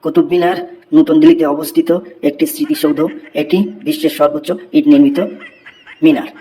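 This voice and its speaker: A man's voice: fairly high pitch, flat delivery, warm tone, lightly acted. A man voicing a schoolboy who recites a memorized essay in a cartoon. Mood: recitation. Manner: fast rote recitation.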